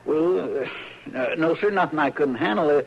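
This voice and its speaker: A man's voice: a little high, expressive, moderate pace, vocal fry. A man playing a good-natured, bumbling marshal's helper in an old radio western, hesitant and deferential, with a rural Western American accent. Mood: sheepish storytelling. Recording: muffled, hissy old radio recording.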